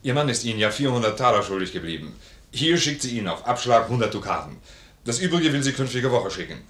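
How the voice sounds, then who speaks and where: An actor playing a loyal, blunt, warm-hearted army sergeant in a classical radio play, plain-spoken, earnest and insistent. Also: male voice, mid-range voice, very animated, quick pace, echoey room. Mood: puzzled, eager. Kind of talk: German classical stage comedy as radio play.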